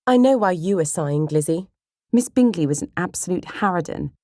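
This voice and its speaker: A woman's voice: lowish voice, expressive, fairly quick, mellow, no echo, clean studio sound, pitched above the performer's own voice, natural voice. A woman voicing an outspoken, impatient young sister in a period romance audiobook, with a blunt, emphatic delivery.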